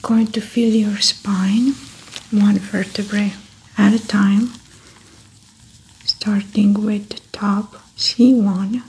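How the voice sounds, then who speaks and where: A young woman whispering softly in an ASMR medical roleplay, calmly narrating a clinical exam as she works. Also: medium pitch, slow, pauses often, slight background noise, rough recording. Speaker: ASMR creator playing a doctor. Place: small indoor studio set.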